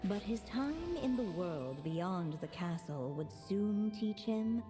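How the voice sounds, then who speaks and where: A woman playing a measured fairy-tale narrator in a video game, solemn and deliberate. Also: medium pitch, sing-song. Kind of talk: scripted storybook narration. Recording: game music behind. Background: game music.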